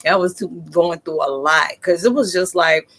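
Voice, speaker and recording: A woman's voice: on the low side, normal speed, a bit bright. A woman in her forties hosting a live stream, chatting casually and laughing as she answers viewer comments. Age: 40s. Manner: chatty and laughing, self-described psychic.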